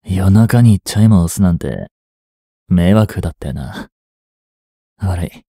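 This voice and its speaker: A man in his twenties playing a reluctant, guilt-ridden young hitman in an audio drama, softly spoken and halting. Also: low voice, lively intonation, breathy edge, dry recording, clean studio sound, exaggerated voice. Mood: feigned casual. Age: 20s. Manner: halting, subdued delivery. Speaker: voice actor. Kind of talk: Japanese audio drama monologue and dialogue.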